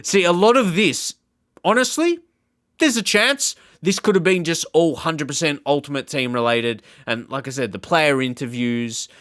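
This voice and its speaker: A man hosting a gaming channel, giving casual, off-the-cuff reaction commentary in a rambling, halting, informal way. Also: a little high, fairly bright tone, no room sound, studio quality.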